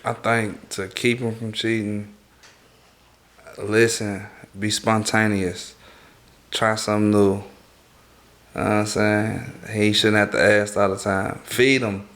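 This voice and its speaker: A man's voice: lowish voice, level tone, slow pace, long pauses, a bit bright. A man, a guest on a panel, chatting casually in blunt, clipped, slangy phrases. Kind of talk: casual chat.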